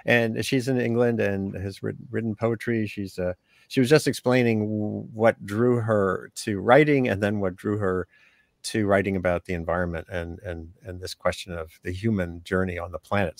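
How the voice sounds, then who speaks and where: A man with a low voice hosts a casual conversation over a video call. He speaks haltingly, with frequent restarts and fillers. The sound is dry and close. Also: steady tone, gravelly, no echo. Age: middle-aged or older. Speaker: host.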